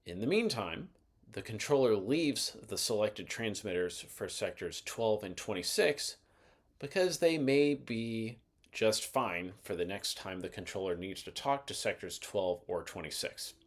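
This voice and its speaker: A man's voice: fairly low pitch, expressive, unhurried. A man giving scripted instructional narration as the host of an explainer video, in a methodical, explanatory manner. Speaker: host of an aviation explainer channel.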